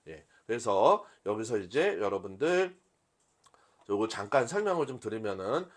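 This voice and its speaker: A man's voice: fairly low pitch, big pitch swings, moderate pace. A man instructing a workshop in an informal live lecture style, talking conversationally to his listeners and explaining things step by step. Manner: conversational, addresses listeners and asks rhetorical questions.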